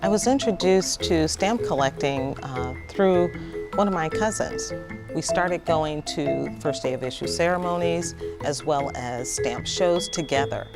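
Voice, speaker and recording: A woman speaking articulately as an interviewee in a documentary. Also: deep voice. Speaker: stamp collector interviewee. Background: background music.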